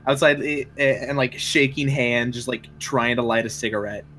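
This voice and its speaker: A man's voice: medium pitch, steady tone, normal speed, a bit bright. A man chatting casually as a player in a tabletop roleplaying game. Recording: faint background noise.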